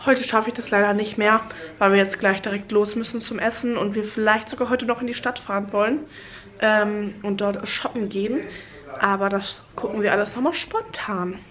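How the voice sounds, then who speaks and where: A woman's voice: medium pitch, moderate pace. A young woman with a cold, her voice a little stuffy and congested, chatting casually to camera in a hotel room while filming on a handheld phone. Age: young adult. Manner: chatty, relaxed, talking straight to camera. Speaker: vlogger. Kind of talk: casual travel vlog. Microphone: handheld phone camera at arm's length.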